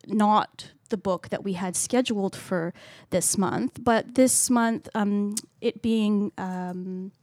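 A woman's voice: medium pitch, slow pace, hardly any pauses, darkish tone, no echo, clean studio sound. Laughing Canadian woman co-hosting a book podcast. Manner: hesitant, self-deprecating, laughs often. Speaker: podcast co-host. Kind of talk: casual book chat.

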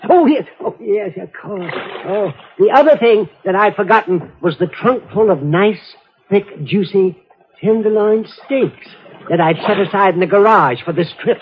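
A man's voice: sits high, normal speed, a little hiss or hum, close to an everyday voice. An actor playing a genial, scatterbrained, wealthy old eccentric in a 1950s radio drama, absent-minded and chuckling as he talks. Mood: dithering, amused. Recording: muffled phone-like old radio recording.

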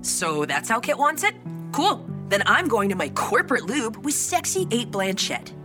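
A woman's voice: a little high, big pitch swings, normal speed, over music. A woman playing a brash, touchy female robot in an audio comedy drama, blunt, defensive and sardonic. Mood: huffy.

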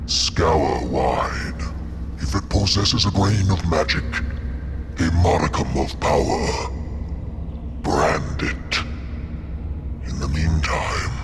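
An actor voicing an imperious, threatening giant magical head of rock and branches in an audio drama. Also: male voice, very deep voice, slow, pauses often, background noise. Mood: commanding. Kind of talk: audio fiction drama acting.

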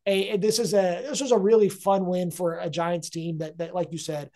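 A man, a guest sports writer, breaking down football games in casual analysis, halting and restarting his sentences often. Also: sits high, steady tone, dark tone. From India. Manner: hesitant, many restarts and fillers.

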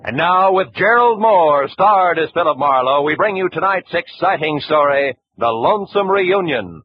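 Formal 1940s radio announcer. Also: male voice, fairly high pitch, lively intonation, lo-fi sound, over loud music. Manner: formal, measured. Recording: hissy 1940s radio broadcast, distant.

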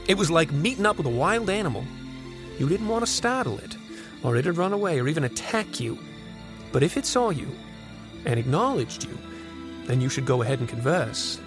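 A man's voice: a little high, lightly acted. Male actor as folksy storyteller, haunted, reverent, suspenseful, audio drama, music behind. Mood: hushed awe. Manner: suspenseful, deliberate storyteller with folksy turns of phrase. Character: folksy old storytelling grandfather, haunted and reverent about fairies. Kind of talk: dramatic first-person narration on a recorded tape.

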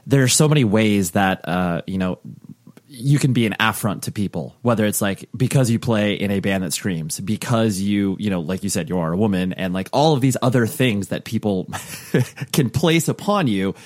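A man's voice: on the low side, expressive, moderate pace, dry recording, studio quality. A man hosting a podcast, chatting casually and rambling with lots of fillers and restarts. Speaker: podcast host. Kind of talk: casual interview chat.

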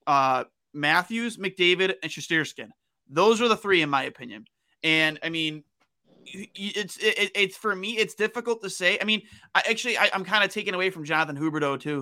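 A man's voice: sits high, sharp tone. A man co-hosting a sports podcast, giving casual, blunt opinions and repeating himself for emphasis. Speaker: podcast co-host.

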